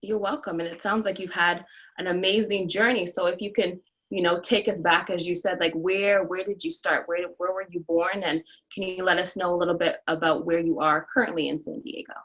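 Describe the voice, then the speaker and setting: Woman host, slightly low pitch, upbeat interview tone. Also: fairly even intonation, fairly quick, a bit bright, low-quality recording. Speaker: podcast host. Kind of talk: interview with a scripted guest introduction.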